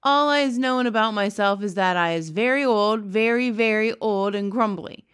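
A woman's voice: medium pitch, moderate pace, a bit bright, clear, resonant tone, close to an everyday voice. A woman voicing a very old, kindly male giant who talks with mangled grammar and made-up words. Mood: emphatic.

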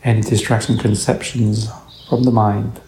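A man with a low, mellow voice guides a meditation in gentle, soothing phrases. His delivery is very slow and unhurried. The recording has a noticeable roomy echo around the voice. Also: flat delivery. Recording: roomy echo, faint background noise.

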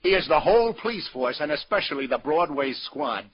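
An actor playing a world-weary, sardonic police detective in 1940s radio noir style, with slangy hardboiled talk. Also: male voice, on the high side, lively intonation, fairly quick, breathy edge, no echo. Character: world-weary, wry New York police detective lieutenant, hardboiled narrator. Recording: muffled 1940s radio broadcast, music behind.

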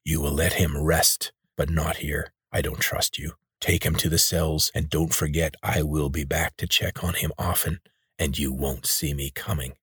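A man voices a blind, menacing warrior leader from a storybook, his rasping voice sitting very low. He speaks quietly with cold threat, and the recording is dry and close. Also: level tone, moderate pace, no room sound, full character voice.

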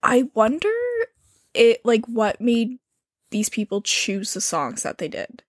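A woman's voice: fairly high pitch, no room sound, studio quality. A young woman chatting casually, talkative and a bit phlegmy. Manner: chatty, quick to laugh along. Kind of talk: casual chat.

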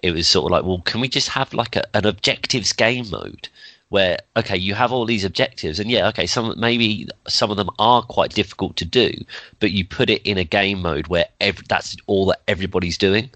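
Chatty man with a cold, deep voice. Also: brisk, sharp tone, no echo. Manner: chatty, laughs often, many ums. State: has a cold, slightly nasal. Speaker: podcast host. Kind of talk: casual podcast chat.

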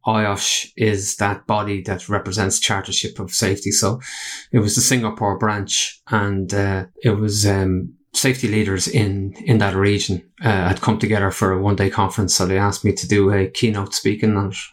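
Low-voiced Irish man in conversation. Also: level tone, moderate pace. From Ireland. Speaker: podcast guest, company chief executive. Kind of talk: conversational interview answers.

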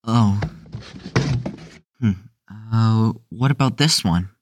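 A man playing a mild-mannered, unsure young newcomer with a halting delivery in an audio drama. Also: on the low side, noisy background. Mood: hesitant.

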